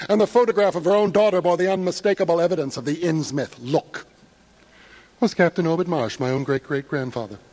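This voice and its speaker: A man's voice: high voice, a little mellow. An actor playing a haunted young man narrating a horror audio drama in an intense, literate, theatrical way. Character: haunted young male narrator, anxious, sliding into rapt madness.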